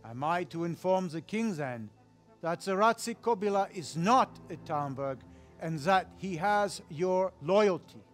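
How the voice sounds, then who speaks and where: Imperious royal envoy, a menacing medieval knight; high voice, formal game drama, light music. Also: male voice, animated, unhurried. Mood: coolly threatening. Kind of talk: video game cutscene acting.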